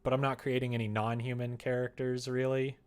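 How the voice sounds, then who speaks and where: A man with a mid-pitched voice talks casually on a livestream. He explains things in a loose, rambling way, half to viewers and half to himself as he works. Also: flat delivery, a little fast, smooth. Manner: rambling explanations, muttering and humming to himself while working. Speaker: streamer developing a visual novel. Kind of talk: casual livestream chat while working.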